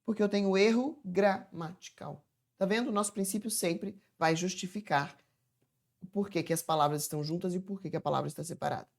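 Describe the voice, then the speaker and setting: A woman teaching a chatty, informal grammar lesson from home, stretching words out slowly to demonstrate how they sound. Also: fairly low pitch. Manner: chatty, addresses viewers as "gente". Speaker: Portuguese teacher.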